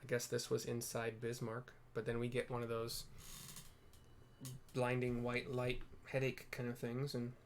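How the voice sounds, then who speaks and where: A man commentates on a video game in a casual, unscripted way, with a medium-pitched voice. He thinks aloud as he goes, rambling through explanations and often rephrasing what he means. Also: completely flat delivery, a little slow, a little hiss or hum. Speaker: gameplay commentator.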